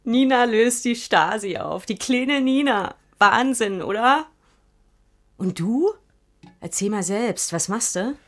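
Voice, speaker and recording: An actress playing an excitable, free-spirited, flirtatious woman in a naturalistic radio drama, breezy and teasing. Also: a little high, takes it slowly, heavily acted. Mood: exuberant, proud.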